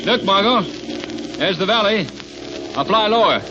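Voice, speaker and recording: An actor in an old radio drama playing an inquisitive, confident, well-bred gentleman with polished, urgent delivery. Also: high-pitched, animated, moderate pace, music in the background. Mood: urgent, earnest. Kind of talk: 1930s radio drama acting. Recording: very noisy, muffled 1930s radio recording.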